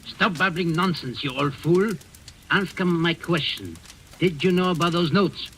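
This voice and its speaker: A forceful, fairly high-voiced Gestapo officer, barking and impatient. It is vintage radio acting on a noisy recording. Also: male voice, animated, unhurried. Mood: impatient, demanding. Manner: barking, curt, menacing. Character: harsh, impatient Gestapo lieutenant, bullying interrogator. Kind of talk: 1940s radio drama acting.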